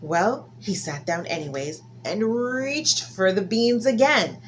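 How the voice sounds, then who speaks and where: A woman telling a folktale to children in an expressive style, stretching key words for dramatic emphasis. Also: medium pitch, takes it slowly, slight background noise. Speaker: children's storyteller.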